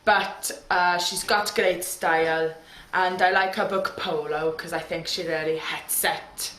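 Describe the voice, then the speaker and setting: Lady putting on a welsh accent, chatty middle-aged woman, slow and halting, lots of ums. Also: lowish voice, fairly even intonation, a bit bright. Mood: rambling. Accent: put-on Welsh. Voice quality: slow, halting, rambling with fillers. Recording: echoey room.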